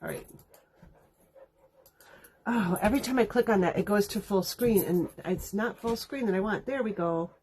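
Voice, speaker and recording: A woman's voice: medium pitch, normal speed, long pauses, darkish tone, a little hiss or hum. Congested, nasal woman chatting casually. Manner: chatty, rambling, laughs often. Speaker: card-making instructor. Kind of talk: casual livestream chat.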